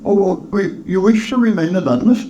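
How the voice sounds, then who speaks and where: A man's voice: high-pitched, animated, a little fast, dark tone, pitched above the performer's own voice, low-quality recording. A man puts on a polite charity collector from a storybook, in a raised voice. He is formal and earnest, hesitating and stammering deferentially. The recording has noticeable noise. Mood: hesitant, pleading.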